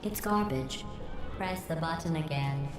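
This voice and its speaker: A woman voicing a cold, sarcastic female AI that runs a test facility, with a synthetic robotic edge and a deadpan, passive-aggressive delivery. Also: low voice, measured pace, over music. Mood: dry sarcastic. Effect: synthetic robotic processing. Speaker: antagonist. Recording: roomy echoing game audio with faint noise.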